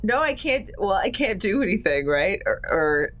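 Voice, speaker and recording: A woman's voice: mid-range voice, big pitch swings, normal speed, fairly bright tone. A woman chatting casually as a tabletop game player, somewhat hesitant in her delivery. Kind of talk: casual chat.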